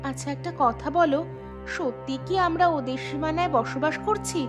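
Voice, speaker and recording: Caring, fretful village wife acted by a woman: very high pitch, cartoon delivery, music behind. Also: normal speed, close to an everyday voice. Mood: worried. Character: gentle, worried village wife. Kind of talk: cartoon voice acting. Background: background music.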